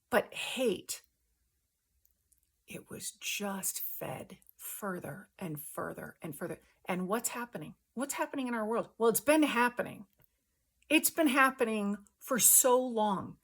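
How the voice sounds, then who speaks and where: A woman with a husky voice delivers a personal spiritual monologue. She takes her time, breaking thoughts into short, emphatic phrases with halting pauses, and keeps the wording casual and earnest. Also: on the high side. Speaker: host sharing a personal spiritual testimony.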